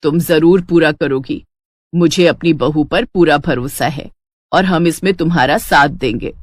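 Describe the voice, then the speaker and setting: Woman playing firm older mother-in-law, lowered voice. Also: a little slow. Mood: reassuring. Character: older mother-in-law, stern about honour, later supportive.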